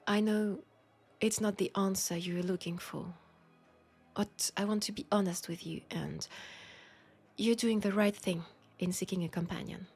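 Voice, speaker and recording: A woman with a French accent playing a seductive, world-weary female vampire in an intimate audio roleplay, speaking softly and deliberately, often slipping toward a whisper. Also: mid-range voice, fairly even intonation, slightly rough, soft background music. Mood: frank, earnest.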